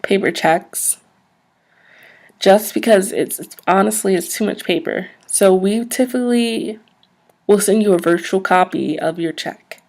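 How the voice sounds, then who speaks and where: A soft-spoken woman in an ASMR roleplay, acting as a polite, businesslike job interviewer who explains things a little hesitantly. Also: medium pitch, unhurried.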